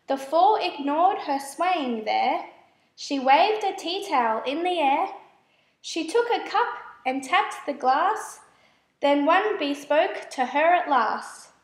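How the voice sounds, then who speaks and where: A woman reading children's verse aloud as a storyteller, in a measured, expressive way. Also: high voice, echoey room, rough recording. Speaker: storybook reader. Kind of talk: scripted children's verse narration.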